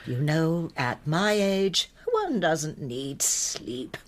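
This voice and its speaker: An actress playing a genteel, pious, self-pitying elderly wife in a radio drama, with breathy, mannered politeness. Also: female voice, deep voice, expressive, extremely slow, full character voice. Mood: martyred. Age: older.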